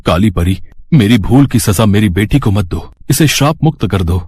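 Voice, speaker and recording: Man as a husky, worried storybook king. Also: fairly low pitch, expressive, moderate pace, darkish tone. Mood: apologetic pleading. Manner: earnest, formal. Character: humble, worried king and loving father.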